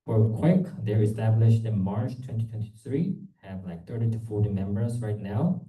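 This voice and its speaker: Young man, low dark voice, halting informal conference presentation, distant echoey recording. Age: young adult. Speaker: student club executive presenting at a conference. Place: indoor conference room. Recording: echoey room, distant microphone.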